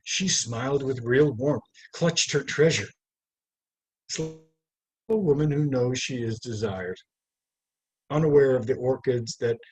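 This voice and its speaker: A man reading his poetry aloud over a video call, in a deliberate, theatrical way with measured pauses. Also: medium pitch, darkish tone, slightly rough, poor audio. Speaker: poet reading own work. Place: live online video call. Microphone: video call microphone.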